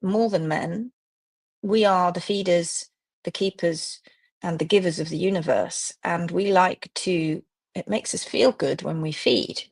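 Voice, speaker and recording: A woman's voice: fairly low pitch, unhurried, creaky, a little mellow. A woman hosting a live stream, talking casually to viewers about feeding and greeting them by name. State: slightly croaky voice. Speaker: host of a live hoof care stream.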